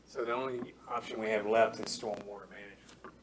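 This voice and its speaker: A man's voice: fairly low pitch, steady tone, a little fast. A man on a town committee, speaking earnestly at a public meeting while losing his voice and repeating his key points. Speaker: committee member. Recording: faint room noise, meeting hall.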